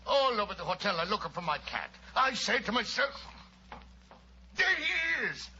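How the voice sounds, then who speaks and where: An actor playing an excitable, indignant foreign man in broken English, in a theatrical 1940s radio style. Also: high-pitched, normal speed. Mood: agitated, indignant. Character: excitable, indignant foreign man hunting for his stolen cat. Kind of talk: 1940s radio comedy-drama acting. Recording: muffled, noisy vintage radio recording.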